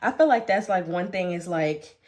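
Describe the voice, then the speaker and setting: A woman's voice: lowish voice, animated, normal speed, darkish tone. A young pregnant woman with a nasal, congested voice, casually chatting on her vlog while she oils her hair at her home vanity, rambling with lots of fillers and restarts. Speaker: beauty vlogger. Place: home vanity room, indoors.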